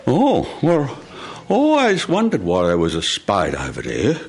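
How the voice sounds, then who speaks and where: A man's voice: lowish voice, huge pitch swings, measured pace, crowd noise, full character voice. A man voicing a timid, hopeful talking tree in a live storytelling show, stammering as he pleads. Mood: hesitant pleading. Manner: hesitant, stammering.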